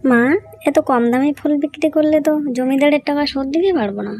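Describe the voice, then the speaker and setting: A woman's voice: high voice, quick pace, mellow, slight background noise. A woman voicing a caring young village daughter in a cartoon story, earnest and coaxing. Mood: doubtful. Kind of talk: Bengali cartoon story voice acting.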